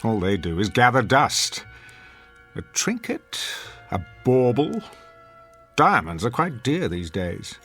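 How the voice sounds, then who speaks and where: A haughty, misanthropic man of the theater in a radio drama, with a bright, forceful voice that swings widely in pitch. His delivery is pompous and acerbic, and faint music plays behind him. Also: very animated, takes it slowly, slightly rough, exaggerated voice. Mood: sardonic, scornful. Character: haughty, sardonic, misanthropic male theater critic and husband.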